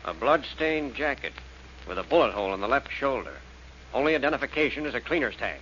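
A man's voice: medium pitch, very animated, quick pace, a bit bright. An actor playing a dutiful male police subordinate giving reports in a 1940s radio detective drama. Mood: matter-of-fact. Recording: muffled, noisy old radio recording.

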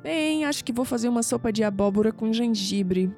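A woman playing a wry, caring young sceptic in an expressive audio drama performance. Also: fairly high pitch, a little fast, soft background music. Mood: puzzled.

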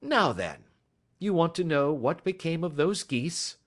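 Gentleman sleuth for classic storybook, played by a man. Suave, confident, wryly mocking, exact, animated and raised in pitch. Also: a little slow. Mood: cheery, teasing. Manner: suave, precise, wry. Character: shrewd, self-assured male gentleman detective, gently mocking.

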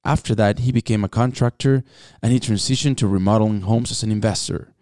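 Male podcast host, warm low voice, smooth, dry close sound, hesitant questions. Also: a little fast, no echo. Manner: many restarts, hesitant questions. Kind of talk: interview hosting.

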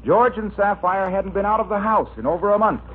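A man's voice: high-pitched, fairly quick, slightly rough. A man announcing and narrating a scripted mid-century radio comedy in the style of that era. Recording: tinny old radio recording.

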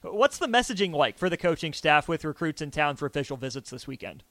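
A man hosting a sports radio show from a studio, introducing and questioning a guest in a smooth, structured delivery. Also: on the high side, very animated, fast talker, a bit bright, dry recording.

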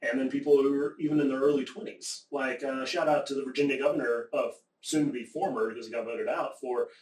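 A man from the American South talking in casual conversation, rambling and profane, often getting animated. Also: medium pitch, fast. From American South, Appalachia. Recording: echoey room, distant mic.

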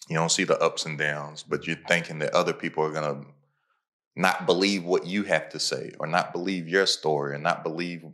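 Low-voiced man hosting a podcast. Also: fairly even intonation, fairly quick, a bit bright. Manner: motivational, talks in repeated punchy phrases. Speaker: podcast host. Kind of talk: casual conversational podcast talk.

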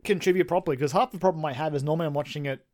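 A man's voice: a little high, racing, a little mellow. A young man co-hosting a casual gaming podcast, rambling and self-deprecating, laughing often. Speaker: podcast co-host.

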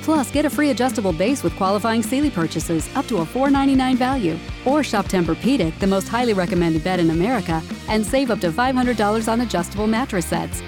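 Female retail ad announcer, mid-range pitch, scripted sales delivery, music clearly underneath. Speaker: advertisement voice-over. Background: music bed.

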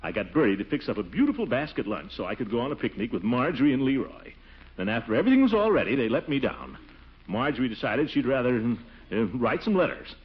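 A man's voice: medium pitch, sing-song, fast, natural voice. An actor playing a blustery, eager, pompous middle-aged American man in a 1940s radio comedy. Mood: eager, flustered. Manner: blustery, exclaiming, hemming. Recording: muffled, noisy 1940s radio recording.

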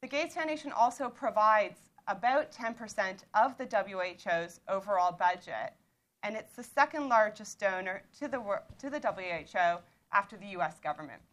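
A woman in her thirties from Canada with a Canadian accent, an author speaking at a panel in a measured, explanatory way with occasional restarts. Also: mid-range voice, lively intonation, fairly bright tone. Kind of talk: lecture-style talk.

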